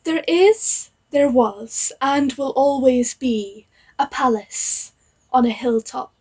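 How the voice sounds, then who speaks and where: A woman's voice: high-pitched, slow pace, dark tone, slightly rough, poor audio. A woman from northeast Scotland, telling a story in a lyrical, storybook manner.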